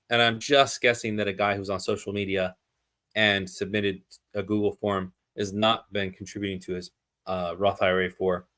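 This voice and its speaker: A man's voice: lowish voice, a bit bright. A man giving a live streamed lesson, thinking aloud through worked examples and answering his own questions. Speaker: teacher explaining zakat calculation.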